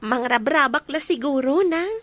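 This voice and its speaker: An actress playing a sweet young woman in a radio drama, speaking gently and earnestly. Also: sits high, normal speed. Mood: shy.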